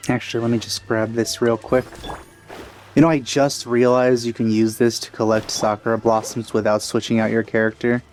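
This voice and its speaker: A man does casual let's play commentary with an everyday, medium-pitched voice. His delivery is offhand and joking, and game music runs behind him. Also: flat delivery, moderate pace, a little mellow.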